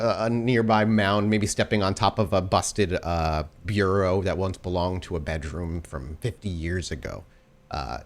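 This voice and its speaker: A man acting as game master, improvising descriptive, halting narration for a tabletop roleplaying game. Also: fairly low pitch.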